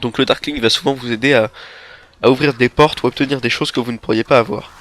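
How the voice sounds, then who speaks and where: A young man with a stuffy nose from a cold, giving rambling, halting gameplay commentary into a headset mic. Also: mid-range voice, fairly even intonation, a bit bright. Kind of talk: game walkthrough commentary. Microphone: headset microphone.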